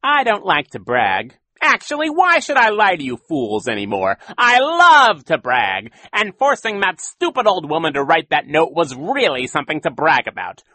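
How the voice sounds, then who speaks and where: A man's voice: a little high, wildly swooping pitch, normal speed, few pauses, pushed and bright, no room sound, pitched above the performer's own voice, heavily acted. A man voicing a boastful, cruel villainous con man in an audiobook, with a growling, mocking, exaggerated delivery. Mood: gloating, mocking. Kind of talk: audiobook character voice.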